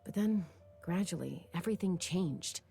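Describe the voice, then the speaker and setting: An actress playing a composed, wistful young woman in a video game, speaking in a measured, reflective way. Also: lowish voice, animated, vocal fry, music in the background. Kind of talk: video game dialogue acting.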